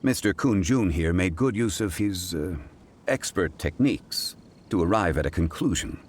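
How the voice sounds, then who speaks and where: A composed, learned gentleman from a video game, speaks in a very deep voice. His phrasing is formal and deliberate, with richly varied intonation over faint ambience. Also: lively intonation, a little hiss or hum. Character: calm, erudite, dignified gentleman investigator. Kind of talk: video game character acting.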